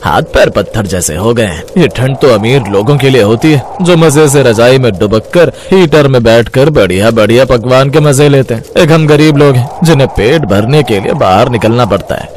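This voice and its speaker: A man's voice: mid-range voice, lively intonation, normal speed, hardly any pauses, darkish tone, a little hiss or hum, lightly acted. A man voicing a friendly poor village workman who grumbles about hardship. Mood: bitter.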